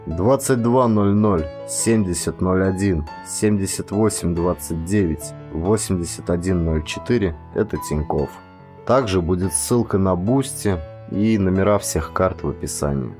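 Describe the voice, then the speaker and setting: A man's voice: deep voice, measured pace, darkish tone, soft background music. A man who works as an audiobook narrator, speaking as the reader and host of the recording. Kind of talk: literary audiobook narration and channel outro.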